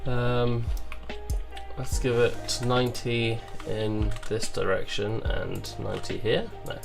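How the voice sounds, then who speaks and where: A tired man who has just woken up, talking casually with a gravelly voice and muttering to himself as he works through a game development livestream. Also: lowish voice, level tone, slow pace, music in the background. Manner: muttering to himself, thinking aloud. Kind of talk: casual livestream commentary while working.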